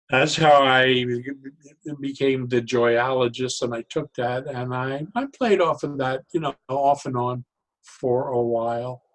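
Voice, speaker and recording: An older man talking reflectively as an interview guest, deliberate and halting, often stopping and restarting his phrases. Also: medium pitch, low-quality recording. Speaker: interview guest, psychologist and humor educator.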